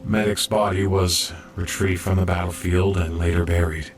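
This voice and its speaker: Low-voiced, earnest young robot medic played by a man. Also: steady tone, normal speed, faint music behind, lightly acted. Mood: somber, reflective. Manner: earnest, reflective, polite. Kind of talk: audio drama narration and dialogue.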